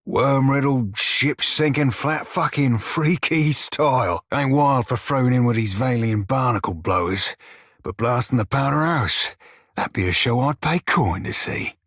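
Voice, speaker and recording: Crude wry pirate shiphunter in a game, raspy husky voice, pirate accent, very dry studio sound. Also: male voice, mid-range voice, unhurried, fairly bright tone, dry recording. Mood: wry, salty. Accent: pirate-style dialect. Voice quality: husky, rough-edged. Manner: salty, drawling sailor slang with sighs. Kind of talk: video game character dialogue and barks.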